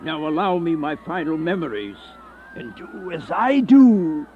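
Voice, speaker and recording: Aged, brooding vampire, wildly swooping voice. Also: male voice, a little high, wildly swooping pitch, unhurried, a little hiss or hum. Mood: grim, resigned. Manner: grandiose, brooding, melodramatic monologue. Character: aged, sickly first vampire, bitter and remorseful. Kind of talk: theatrical radio horror play acting. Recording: dull lo-fi radio play with music.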